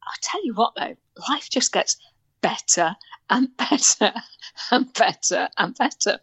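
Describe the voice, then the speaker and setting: A woman's voice: animated, a little slow, a bit bright, husky, dry recording. An older woman from North Wales, chatting as a guest and telling stories with lots of laughter. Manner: bubbly storyteller, laughs often and breaks into high squeals. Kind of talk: casual chat.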